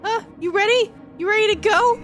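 A woman voicing a meek, awkward young male hedgehog in an improvised comedy fandub, whiny, hesitant and over-earnest. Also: fairly quick. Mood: agitated. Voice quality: squeaky, whiny. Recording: loud music behind the voice. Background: game cutscene music.

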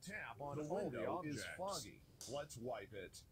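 A man's voice: fairly high pitch, sing-song, a little fast, over a noisy crowd. A man narrating a children's game from a script, giving simple instructions for young kids.

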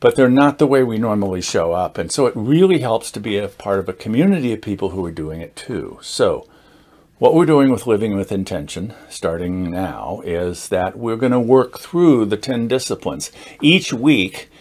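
A man in his sixties giving an informal explanatory talk to camera as a group host, measured and halting, with deliberate emphasis. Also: fairly low pitch, expressive, a little mellow. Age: 60s. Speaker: meetup founder and host, teacher. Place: indoors, small studio with dark curtain backdrop.

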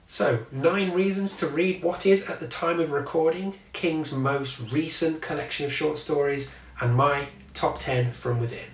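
A man's voice: on the high side, fairly bright tone, far from the mic. Chatty man in an echoey room. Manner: chatty and wry, swears at passing vehicles. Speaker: book reviewer. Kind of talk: casual talk to camera. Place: small home office room. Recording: echoey room, mic set back.

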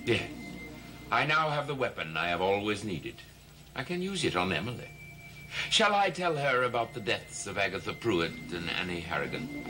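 An actor playing a middle-aged, scheming blackmailer, coldly confident, in a gothic soap opera with an assertive, theatrical delivery. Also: male voice, on the low side, sing-song, moderate pace, noisy background. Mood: cold, threatening.

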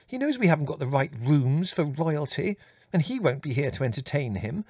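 A flustered middle-aged English mother, played by a male narrator, pitched well up. The delivery is fussy and exclaiming, easily exasperated, as in a storybook reading. The sound is dry and close. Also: animated, moderate pace, dry recording. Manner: quavering, exclaiming, fussing.

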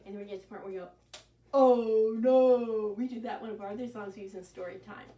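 A woman's voice: medium pitch, rapid-fire, reverberant, distant mic. A woman in her sixties, a children's librarian, reading a picture book aloud with gasps and sing-song songs, recorded in a living room by a camera set back from her. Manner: animated, gasping, sing-song. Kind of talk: storytime reading and singing. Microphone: camera set back across the room.

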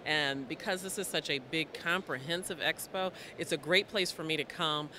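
A woman who reports on pets for television, answering an interview on a convention floor in a brisk, enthusiastic way into a handheld mic. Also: low-pitched, sharp tone, slight background noise. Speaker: veterinarian TV correspondent. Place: convention hall.

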